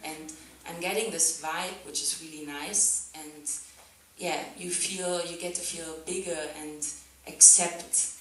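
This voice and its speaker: A woman's voice: deep voice, fairly even intonation, slow pace, fairly bright tone, slight background noise. A young woman from Germany, a non-native English speaker, talking thoughtfully about her art on stage at a festival, with lots of "like" and "um" fillers. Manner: thoughtful, many "like" and "um" fillers, laughs often. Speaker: festival artist guest. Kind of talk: artist's talk, casual reflection. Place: theatre stage on a sofa before an audience. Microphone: handheld mic. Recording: very echoey hall, speaker far from the camera.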